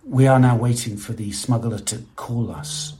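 A man's voice: medium pitch, steady tone, moderate pace, dark tone, slightly rough. A man reporting on location, speaking to the microphone while on the move in a vehicle. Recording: noisy car interior ambience.

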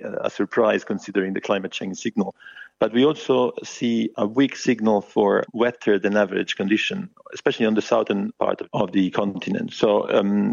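Low gravelly guy, halting explanations, muffled lo-fi link. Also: darkish tone, no echo. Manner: hesitant, explanatory. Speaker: climate scientist. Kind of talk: interview answers.

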